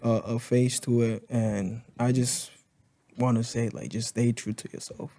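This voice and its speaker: A young man in his twenties from Congo, co-hosting a casual podcast and thinking aloud in halting, reflective bits. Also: medium pitch, level tone, gravelly, dark tone. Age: 20s. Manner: halting, reflective, restarts often. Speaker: podcast co-host. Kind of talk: casual chat.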